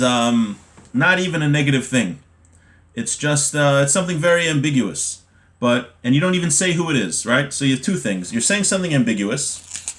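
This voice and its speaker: A man's voice: medium pitch, fairly bright tone. Conversational American man teaching a class. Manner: conversational, explanatory, checks in with "right?". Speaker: religion teacher giving an online class. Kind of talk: informal text study, reading aloud then explaining with examples.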